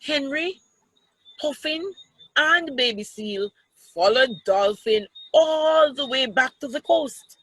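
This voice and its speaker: Woman, bright voice, very slow pace, children's storytime reading, deliberate, dry sound. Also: high-pitched, animated, dry recording, low-quality recording. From Jamaica. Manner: deliberate, pausing to explain pictures and question children. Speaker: storytime reader, teacher. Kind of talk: reading a picture book aloud to children.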